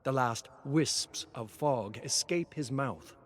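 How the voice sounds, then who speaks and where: A man narrating a scripted tale in a dramatic, brooding, theatrical way, often dropping into hushed tones. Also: mid-range voice, takes it slowly, a little hiss or hum.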